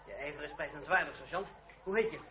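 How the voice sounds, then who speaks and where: An actor playing a proud young revolutionary with a cutting tongue, speaking formally and sardonically in a period radio drama. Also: male voice, fairly high pitch, quick pace, natural voice. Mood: commanding. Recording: tinny, very noisy old radio play recording.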